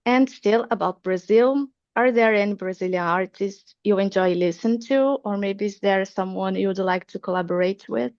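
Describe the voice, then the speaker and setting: Woman interviewer, mid pitch, measured questions, dull dry lo-fi sound. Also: a little mellow, no echo.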